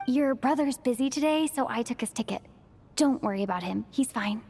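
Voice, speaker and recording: A woman's voice: fairly high pitch, fairly quick, darkish tone, big-room sound, slight background noise, lightly acted. A woman playing a caring, direct young woman in a video game, plain-spoken and concerned for others. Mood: hesitant.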